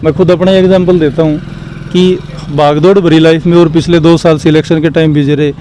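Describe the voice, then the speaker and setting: Earnest village head, dark tone. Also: male voice, on the high side, little pitch movement, fairly quick, a little hiss or hum. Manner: earnest, explains with examples, restarts phrases. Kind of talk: interview answers.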